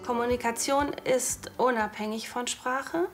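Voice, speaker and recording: A woman teacher speaking in an interview in an earnest, deliberate way. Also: on the high side, steady tone, soft background music.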